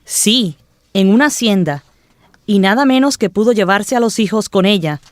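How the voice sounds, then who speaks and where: A woman's voice: medium pitch, lively intonation, moderate pace, no echo, studio quality, close to an everyday voice. An actress playing a humble, devout village woman in a religious radio drama, speaking earnestly and gratefully. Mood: grateful relief.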